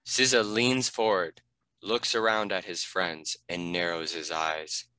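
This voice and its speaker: Deep guy narrating a script, crisp forceful tone, dry close sound. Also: fairly even intonation, unhurried, no echo, low-quality recording. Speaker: narrator reading stage directions.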